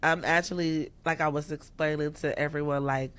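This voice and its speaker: A woman's voice: low voice, moderate pace, clear, resonant tone. A woman of thirty, a musician guest in a casual podcast interview, talking freely, laughing often and acting out what people said to her. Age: 30s. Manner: laughs often, animated, quotes herself in raised voice.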